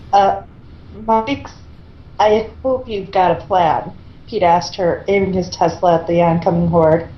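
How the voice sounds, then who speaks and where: A woman's voice: on the low side, slow pace, long pauses, muffled recording. A woman reading a story aloud in an amateur style, with casual asides. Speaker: co-reader of fan fiction. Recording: echoey room with faint noise.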